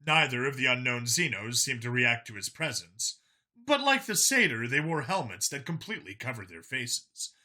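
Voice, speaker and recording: A man's voice: mid-range voice, sharp tone. A man reading scripted fiction as an audiobook narrator.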